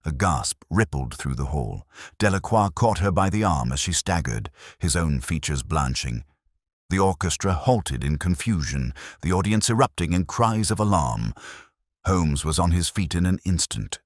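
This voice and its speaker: A man narrates a detective story in an extremely low voice. His delivery is measured, formal and theatrical, with lively pitch movement, and the sound is close and dry. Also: dry recording. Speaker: audiobook narrator.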